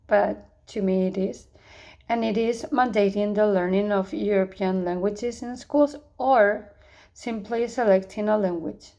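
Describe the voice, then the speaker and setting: A young woman from Spain speaks English with a Spanish accent, reading a prepared course answer. Her voice sits at an ordinary middle pitch, and she keeps an earnest, measured pace, stumbling now and then into a quick restart. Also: mellow. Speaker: student.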